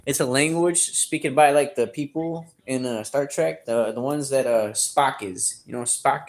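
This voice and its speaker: A man's voice: mid-range voice, measured pace. Profane joking man in his thirties. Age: 30s. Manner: profane, joking, loud outbursts. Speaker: livestreamer reading chat. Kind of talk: casual crude livestream chat.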